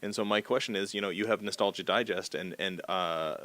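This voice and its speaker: A man interviewing in a conversational way, working haltingly and discursively toward his questions. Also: low-pitched, sharp tone, dry recording.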